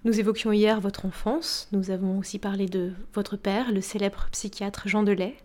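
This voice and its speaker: A woman hosting a radio interview who asks formal, measured questions. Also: mid-range voice, flat delivery, darkish tone.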